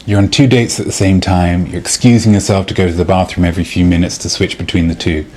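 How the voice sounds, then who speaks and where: A man playing a knowing, wordy date who calmly confronts his two-timer, explaining at length in a comedic dramatization. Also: sits low, fast talker, husky. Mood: accusing. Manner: explains at length in orderly detail. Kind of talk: acted comedic date dialogue.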